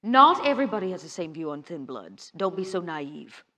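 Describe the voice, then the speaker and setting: Woman playing shrewd vampire schemer Vera, very animated. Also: on the low side, moderate pace, exaggerated voice. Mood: firm. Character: shrewd, commanding, sly female vampire gang schemer named Vera.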